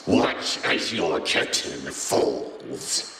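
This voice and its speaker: A man's voice: animated, extremely slow, gravelly, fairly bright tone, slight background noise, exaggerated voice. A man playing a sneering, imperious blue alien invader in a fan fiction audio drama, with a menacing, taunting delivery. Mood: commanding. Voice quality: menacing, booming. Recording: echoey long reverb, music behind.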